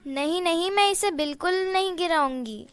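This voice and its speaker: A woman's voice: extremely high pitch, normal speed, no room sound. A woman voicing an eager, agreeable female cat in a cartoon story. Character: meek talking cat, female.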